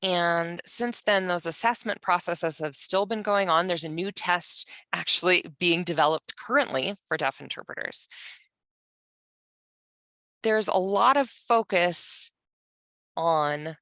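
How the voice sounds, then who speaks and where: A woman interpreting for a panelist, speaking in first person in a measured, halting way with pauses. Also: lowish voice, pushed and bright, no room sound. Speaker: sign language voice interpreter for a panelist.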